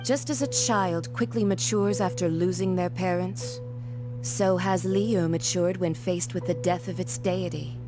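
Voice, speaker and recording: A woman's voice: fairly low pitch, steady tone, measured pace, music in the background, close to an everyday voice. A woman playing a poised, sly, coolly amused schemer in a video game, knowing and faintly mocking. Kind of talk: video game cutscene acting.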